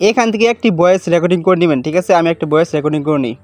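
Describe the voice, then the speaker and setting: A man's voice: fairly high pitch, racing, darkish tone, soft background music. A man with a Bangladeshi accent hosting a casual step-by-step tutorial in colloquial, repetitive instructions. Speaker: tutorial video host.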